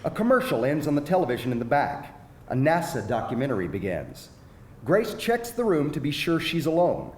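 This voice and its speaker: A man's voice: a little high, big pitch swings, a little fast, darkish tone. A man narrating stage directions in a live screenplay reading. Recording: echoey hall, faint background noise.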